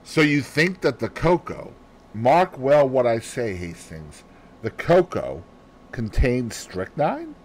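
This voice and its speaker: A man's voice: mid-range voice, sing-song, rough recording. Man voicing a fussy, lilting detective. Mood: placid, gently challenging. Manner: precise, deliberate, peppered with French phrases. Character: fussy, placid Belgian male detective, growing old. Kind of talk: audiobook character dialogue.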